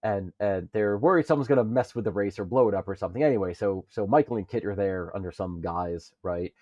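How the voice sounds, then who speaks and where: Low-voiced man chatting on a muffled mic. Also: quick pace, dark tone, dry recording. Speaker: podcast panelist. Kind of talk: casual chat.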